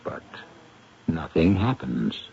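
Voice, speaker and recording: A man hosting and narrating a radio mystery in a brooding, deliberate, dramatic scripted style. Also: rumbling low, very animated, vocal fry, mellow, husky. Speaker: host and narrator. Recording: muffled old radio recording. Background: music.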